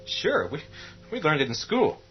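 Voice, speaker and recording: A man's voice: a little high, huge pitch swings, moderate pace, muffled recording, soft background music. An actor playing a nervous, helpful young man in a horror radio drama, hesitant but eager.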